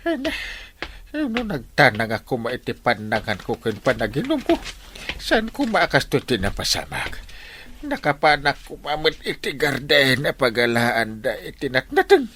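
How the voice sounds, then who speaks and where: An actor with an Ilocano Filipino accent playing a comic village captain who whines and hesitates, in radio comedy drama style. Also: male voice, mid-range voice, wildly swooping pitch, normal speed, sharp tone, slight background noise. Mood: whining, pained. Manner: whiny, exclaiming, hesitant. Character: comic village captain, whining and groaning over a bellyache.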